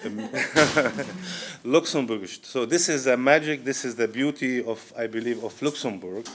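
A man in his thirties with a Syrian Arabic accent, giving an informal talk to an audience in halting English full of pauses and fillers, recorded by a distant camera in an event room. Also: medium pitch, background noise. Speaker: guest speaker, restaurant owner. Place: medium indoor event room with diners. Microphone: far camera, no mic.

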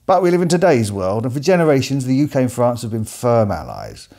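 Deadpan English man with a mellow voice. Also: mid-range voice, fairly quick. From England. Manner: dry, deadpan and wry. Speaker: host. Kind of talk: scripted comedic narration. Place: indoors, seated at home. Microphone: facing camera at close range.

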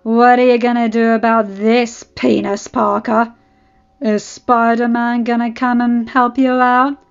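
A woman's voice: on the high side, little pitch movement, measured pace, creaky, clean tone, highs cut off. A woman voicing a sneering, taunting teenage boy bully in an audiobook story, with a mocking delivery. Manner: mocking, incredulous. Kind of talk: fan fiction audiobook character voice. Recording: band-limited audio with background music.

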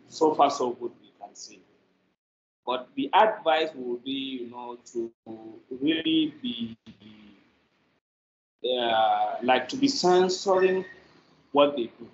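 Middle aged nigerian guy, slow halting answers, echoey room, some background noise. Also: mid-range voice. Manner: hesitant, broken phrasing. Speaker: media producer, interview guest. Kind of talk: conversational interview answer. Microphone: video call. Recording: echoey, noisy, dull video-call audio.

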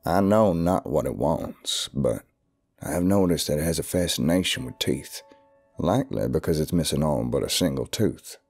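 A man's voice: a bass voice, lively intonation, normal speed, darkish tone, breathy edge, faint music behind, exaggerated voice. A man with a Southern US accent voicing a stern, ominous older recluse.

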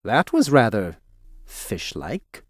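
Wildly swooping, bumbling scientist. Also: male voice, lowish voice, wildly swooping pitch, takes it slowly, dry recording, clean studio sound, full character voice. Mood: suspicious, puzzled. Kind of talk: cartoonish video game voice acting.

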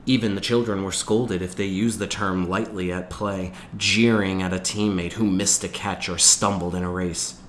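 Deep-voiced man reading a story aloud. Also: fairly even intonation, moderate pace, few pauses, a little hiss or hum. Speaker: teacher reading a novel aloud. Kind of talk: expressive audiobook-style narration.

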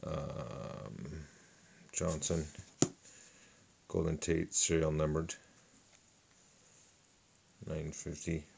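A middle-aged man calmly listing sports cards one by one at a table, with a lot of fillers. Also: rumbling low, extremely slow, creaky, long pauses, noisy background. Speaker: card collector. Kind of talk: casual card unboxing narration. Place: indoors at a table.

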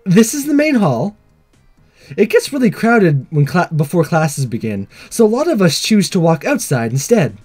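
A man plays a chirpy high school girl from a visual novel. The voice is pitched high and swings up and down with eager friendliness, over background music. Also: big pitch swings, moderate pace, a little mellow, breathy edge, exaggerated voice. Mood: cheerful, friendly. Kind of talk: visual novel character read aloud.

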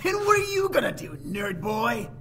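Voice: medium pitch, sing-song, moderate pace, bright, forceful tone, a little hiss or hum. A performer voicing a mocking, gleefully menacing villain with a pistol in a visual novel game. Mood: taunting. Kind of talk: exaggerated visual-novel voice acting.

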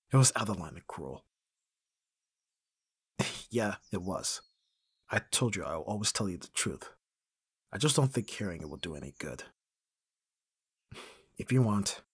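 A male actor plays a gruff, protective bodyguard in an audio roleplay. The voice is husky and raspy, recorded close and dry. He talks bluntly and guardedly, with sighs and curses. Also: deep voice, no room sound, full character voice. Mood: weary, guarded. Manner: gruff, sighing, swearing, blunt. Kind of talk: intimate ASMR roleplay acting.

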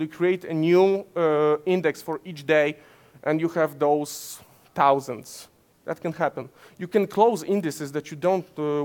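Polish-accented man in his 30s, conference tech speaker, a little high-pitched, halting with fillers. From Poland. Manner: many fillers and self-corrections, gesturing while explaining. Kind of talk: technical conference talk. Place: conference stage in front of slides, indoors.

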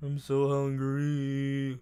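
A man's voice: medium pitch, completely flat delivery, very slow, warm tone, clean tone. A man voicing a goofy, whiny teenage anime boy, a clingy boyfriend rambling hesitantly in an audio roleplay. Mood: playful, pleading. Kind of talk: improvised audio roleplay addressed to the listener.

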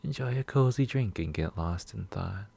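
Man playing a shy, low husky coffee shop regular. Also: expressive, normal speed, exaggerated voice. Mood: friendly, tentative. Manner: gentle, hesitant, rambling. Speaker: roleplay audio performer. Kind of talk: soft-spoken roleplay ASMR.

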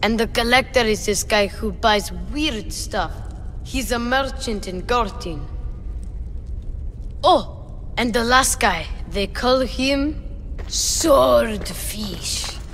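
A cheeky young boy in a video game, his voice bright and a little high, his delivery unhurried. He is excitable and boastful, eager to show what he knows. A faint background hiss sits under him. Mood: chatty.

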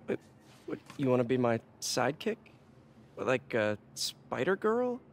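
An earnest, slightly awkward young man. He talks in a boyish, hesitant, natural way at medium pitch in a game cutscene, faint ambience behind. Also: sing-song, a little slow, slight background noise. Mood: puzzled.

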